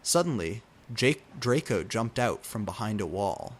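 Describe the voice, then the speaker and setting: A man's voice: on the low side, very animated, measured pace. A man reading fan fiction aloud in a theatrical, mock-serious way, taking punctuation and typos literally. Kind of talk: dramatic comedic read-aloud of fan fiction.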